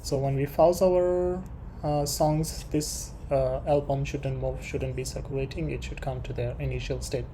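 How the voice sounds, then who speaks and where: A programming tutorial presenter talking through code unscripted, haltingly thinking aloud while working. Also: medium pitch, steady tone. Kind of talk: unscripted screen-share coding tutorial. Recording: clear background noise with keyboard typing.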